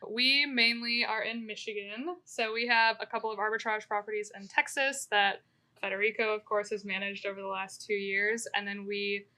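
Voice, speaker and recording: A woman's voice: medium pitch, steady tone, normal speed, bright, forceful tone, rough recording. A woman speaking as a podcast guest about her design business, bubbly and light. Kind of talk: casual podcast chat.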